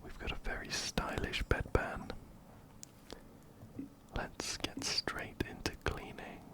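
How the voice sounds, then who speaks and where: A man whispering softly and breathily into a close binaural microphone, giving gentle ASMR game commentary and often repeating words rhythmically. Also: slow. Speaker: ASMR gaming video host. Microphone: close-up binaural microphone, ear to ear.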